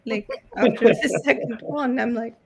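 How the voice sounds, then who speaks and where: High-voiced woman, playful host. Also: moderate pace, creaky, a crowd in the background. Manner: playful, teasing banter. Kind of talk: casual chat.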